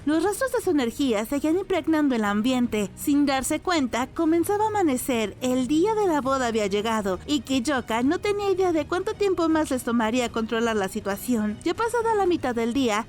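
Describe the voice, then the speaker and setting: A woman giving a scripted narration as the storyteller of a dramatic recap. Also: high voice.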